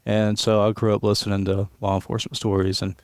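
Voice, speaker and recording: Low, even-voiced man from Tennessee. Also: quick pace, no room sound, studio quality. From West Tennessee, USA. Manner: relaxed storytelling, chuckles often, many restarts. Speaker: police aviation unit leader, guest. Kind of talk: casual podcast interview. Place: hangar office.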